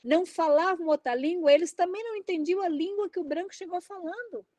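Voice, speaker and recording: A middle-aged woman with a Brazilian Portuguese accent delivers an impassioned talk, her voice high and bright. She exclaims and presses each point with open indignation. The sound is dry and close. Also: brisk, dry recording. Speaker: invited lecturer.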